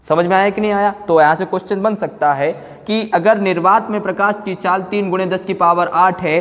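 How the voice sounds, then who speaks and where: A man teaching an exam-coaching class informally, asking questions and answering them himself, repeating key phrases and prompting his students. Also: sits high. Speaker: physics teacher. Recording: echoey hall-like room reverb.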